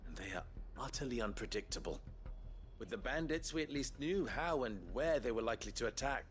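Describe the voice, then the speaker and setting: A man playing a composed, authoritative town leader in a video game, speaking in formal, measured phrases. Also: mid-range voice, animated, natural voice. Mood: grave, resolute. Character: composed adult male town leader rallying defenders, authoritative. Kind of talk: dramatic video game dialogue.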